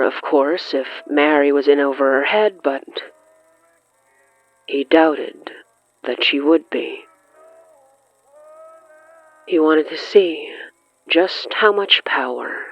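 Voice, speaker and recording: A narrator reading scripted horror fiction in a measured, deliberate way, breaking sentences into short, suspenseful phrases. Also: medium pitch, no echo, dull audio.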